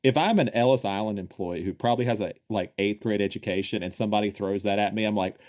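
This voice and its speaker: Give me a man with a low voice tossing in irreverent jokes during a casual podcast chat. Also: darkish tone. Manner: joking, irreverent, quick asides. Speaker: podcast co-host.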